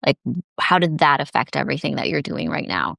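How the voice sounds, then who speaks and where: A woman's voice: extremely low pitch, sing-song, fairly quick, vocal fry, pushed and bright, no echo, clean studio sound. A woman hosting a podcast interview, encouraging her guest with short affirmations and eager follow-up questions. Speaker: podcast host. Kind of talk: casual interview chat.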